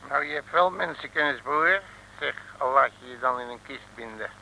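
An actor playing a blustering, comical detective, grumbling colloquially in an old radio serial. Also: male voice, medium pitch, moderate pace, creaky, pushed and bright, rough recording. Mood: indignant bluster. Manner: colloquial, grumbling bravado with malapropisms. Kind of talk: 1950s-60s radio serial acting.

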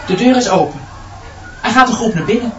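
An actress playing an alert, anxious onlooker at a school in a radio play. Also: female voice, a little high, animated, brisk, natural voice. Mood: anxious, watchful. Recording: distant, echoey, dull, crowd and music behind.

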